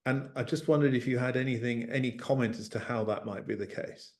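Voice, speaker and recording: A man puts a question to a guest at a talk, halting and restarting as he goes. His voice sits at an ordinary middle pitch. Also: steady tone, a little mellow. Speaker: interviewer.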